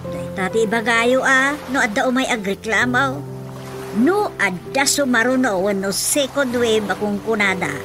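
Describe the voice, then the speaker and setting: Woman acting a practical villager, fairly high voice. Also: measured pace, close to an everyday voice. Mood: matter-of-fact. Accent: Ilocano. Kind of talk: radio drama acting. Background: loud music.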